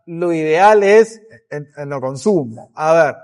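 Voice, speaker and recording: Higher-pitched Argentine man explaining. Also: lively intonation, takes it slowly, a little mellow. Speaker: psychiatrist being interviewed. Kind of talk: explanatory interview answers.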